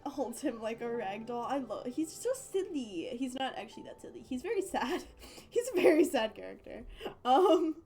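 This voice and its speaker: A young woman chats casually over a game stream in a high voice. She rambles in slang, swears freely and has a giggly manner. Faint music sits underneath. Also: sing-song, normal speed, a little mellow, slightly rough. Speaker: livestreamer.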